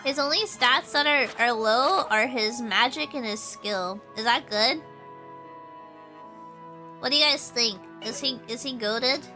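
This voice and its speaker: A woman's voice: a little high, unhurried, bright, forceful tone. A young woman streaming a game, chatting casually and rambling with lots of fillers, her nose stuffy from allergies. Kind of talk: casual gameplay stream chat. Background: game music.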